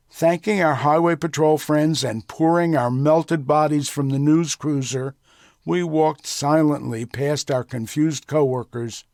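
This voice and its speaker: An older man tells a scripted memoir in a gravelly, fairly high-set voice. He reads at a slow, deliberate pace. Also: no echo, studio quality. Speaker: writer reading own memoir.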